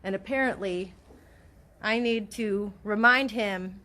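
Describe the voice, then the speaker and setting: A woman's voice: mid-range voice, takes it slowly, pushed and bright. A woman casually vlogging with family, coaching phrases in a teacherly way by prompting and repeating them. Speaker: mother filming a family language-learning vlog. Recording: noisy outdoor and car ambience.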